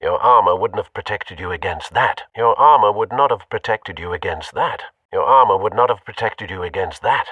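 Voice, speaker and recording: An actor playing a dry-witted, dutiful older butler in a video game, formal and gently sardonic. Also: male voice, lowish voice, lively intonation, moderate pace, few pauses, dry recording, recorded from a distance, muffled recording. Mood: dry, concerned.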